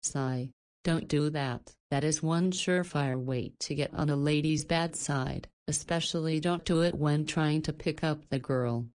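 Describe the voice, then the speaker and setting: A woman's voice: low-pitched, measured pace, a little mellow. A woman voicing a motherly, teasing halfway-house boss in a visual novel, chatty and playfully scolding. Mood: curious, chiding.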